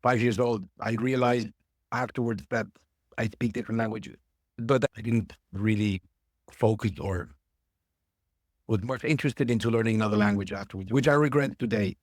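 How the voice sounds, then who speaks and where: A man being interviewed as a podcast guest over a remote call, thoughtful and halting, often rephrasing himself. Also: fairly low pitch, no echo. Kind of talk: casual interview chat.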